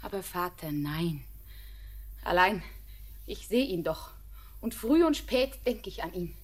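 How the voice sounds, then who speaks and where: A woman's voice: lowish voice, expressive, slow pace. An actress playing a young woman who is tender, devoted and anxious, in a classic radio play, speaking in an earnest, pleading way. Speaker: daughter in love with a miner. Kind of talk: 1900s-style radio play acting.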